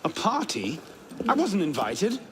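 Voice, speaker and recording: An actor playing a cheeky, quick-witted young Frenchman with a glib, sardonic manner in a video game cutscene. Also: high voice, huge pitch swings, exaggerated voice. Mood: wry. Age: young adult. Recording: game soundtrack music and street noise.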